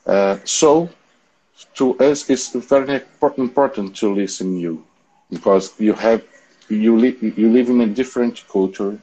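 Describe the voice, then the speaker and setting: A man in his forties with a Brazilian accent, a native Portuguese speaker, hosting a casual online interview in a halting way with repetitions. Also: lowish voice, mellow, breathy edge, low-quality recording. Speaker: interview host. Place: home balcony.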